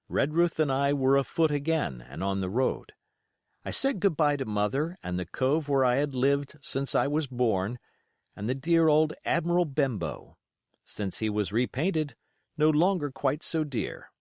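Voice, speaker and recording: Expressive man narrating, with lively swooping pitch. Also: measured pace, no room sound, clean studio sound. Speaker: audiobook reader. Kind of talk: expressive literary narration of a classic adventure novel.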